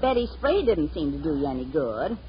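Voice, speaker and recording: Practical radio sitcom mother, lively intonation. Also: low-pitched, brisk. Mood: soothing, matter-of-fact. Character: practical, soothing middle-aged wife and mother. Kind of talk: 1940s radio sitcom acting. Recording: dull noisy old radio recording.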